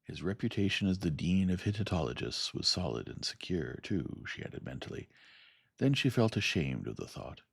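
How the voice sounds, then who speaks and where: A man reading a science fiction story aloud in a soft, calm and unhurried ASMR manner. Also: low-pitched, gravelly, slightly rough, no echo, clean studio sound. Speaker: audiobook reader.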